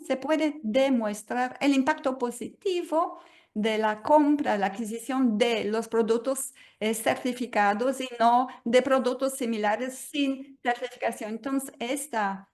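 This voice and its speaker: A woman moderating a webinar over a video call. She explains in a measured way and leans often on "entonces". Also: fairly high pitch, darkish tone.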